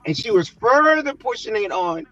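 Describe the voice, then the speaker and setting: A man's voice: high-pitched, very animated, normal speed, bright, forceful tone, dry recording, dull audio. A man arguing insistently in a heated panel debate, pressing his point.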